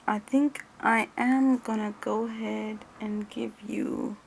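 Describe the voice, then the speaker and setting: A woman speaks one-on-one in an ASMR role-play, her voice on the high side with plenty of vocal fry. She mutters and hesitates as if thinking aloud, slipping between normal speech and a whispery murmur. A faint noise sits behind her. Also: steady tone, slow, rough recording. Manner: hesitant, rambling, muttering to herself. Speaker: ASMR creator role-playing a voice examiner.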